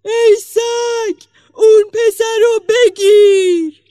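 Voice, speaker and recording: A woman voicing a grumpy, roaring demon giant in a storybook tale, with loud, drawn-out bellowing speech. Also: high voice, lively intonation, dry recording, clean studio sound, pitched above the performer's own voice. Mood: furious roaring.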